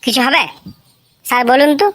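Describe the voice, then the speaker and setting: A woman's voice: high-pitched, steady tone, fairly quick, fairly bright tone. A woman voicing a whiny, chatty village schoolboy in a comic cartoon, with a rural western Bengali accent and childlike lisped, rambling, repetitive speech. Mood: earnest, boastful.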